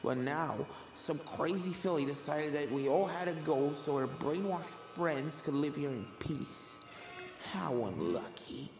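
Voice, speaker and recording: A performer voicing a sinister female ghost mare who speaks softly and slowly with calm menace in a fan fiction reading. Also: mid-range voice, echoey room, music in the background, low-quality recording. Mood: resigned menace. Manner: hushed, slow, calmly menacing. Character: soft-spoken sinister female ghost mare, former serial killer.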